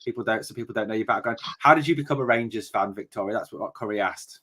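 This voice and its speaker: A man hosting a casual fan podcast, speaking haltingly with many fillers and restarts. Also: lowish voice, bright, forceful tone. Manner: halting, lots of fillers and repeated starts. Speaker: podcast host.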